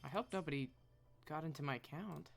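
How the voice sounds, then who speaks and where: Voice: medium pitch, brisk, creaky, faint music behind. A game streamer chatting casually and rambling while thinking aloud on a live stream.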